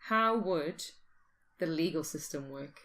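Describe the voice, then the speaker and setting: A British woman with a fairly low voice talks as a podcast host. She is informal and musing, working through ideas aloud in casual, speculative chat. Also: expressive, slow, fairly bright tone.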